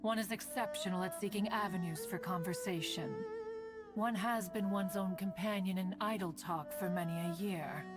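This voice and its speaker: A woman's voice: mid-range voice, fairly even intonation, normal speed, lightly acted. A woman voicing an ancient female crane spirit in a game, proud and teasing, speaking in archaic, stately phrases and calling herself "one". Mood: fond. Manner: archaic stately speech, refers to self as "one". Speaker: game character. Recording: loud music behind.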